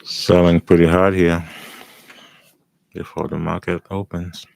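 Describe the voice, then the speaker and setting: A man with a raspy, hoarse voice, giving calm live commentary as he day trades and thinks aloud. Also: low-pitched, a little slow, poor audio.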